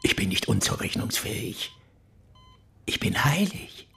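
An actor playing a fanatical preacher who calmly confesses to murder in a radio crime drama, with a slow, hushed, zealous delivery. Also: male voice, lowish voice, vocal fry, exaggerated voice. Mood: firm. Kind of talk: radio play acting.

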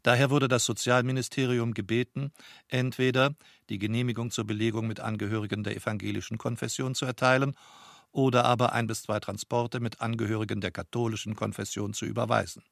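A man's voice: mid-range voice, level tone, a little fast, creaky, no room sound, clean studio sound. A man reading out an official report in a formal, bureaucratic manner.

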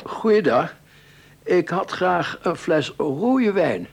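An actor playing a scheming, greedy, darkly poetic doctor in a radio play, musing deliberately. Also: male voice, high-pitched, very animated, creaky, husky, full character voice. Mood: polite. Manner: musing, deliberate, talking to himself. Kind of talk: radio play acting, interior monologue and dialogue.

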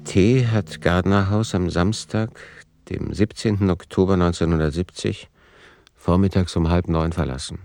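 An actor playing a sober, matter-of-fact narrator of a radio play, reporting in measured, precise like someone reading out a case file. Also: male voice, low-pitched, steady tone, gravelly, a little mellow, soft background music. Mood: detached, factual.

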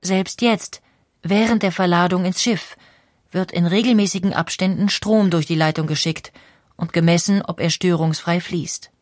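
A woman reading a scripted documentary narration in a clear, explanatory way. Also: lowish voice, steady tone, normal speed, dry recording.

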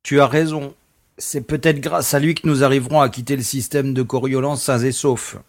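A man playing a wry space pilot, articulate and gently ironic, in an audio drama. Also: mid-range voice, fairly even intonation, moderate pace, natural voice. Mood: agreeable.

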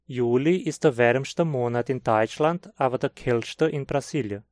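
Male course reader, Hunsrik-accented German, unhurried and clear, dry studio sound. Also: medium pitch, darkish tone, no room sound. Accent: Brazilian Hunsrik German. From southern Brazil. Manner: slow, clear teaching delivery. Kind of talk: scripted textbook dialogue.

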